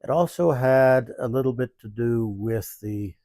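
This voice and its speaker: A man in his seventies, talking in a measured, thoughtful way and pausing often mid-sentence, in an interview. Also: mid-range voice, mellow, no room sound, studio quality. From Canada. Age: 70s. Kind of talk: reflective interview. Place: small museum.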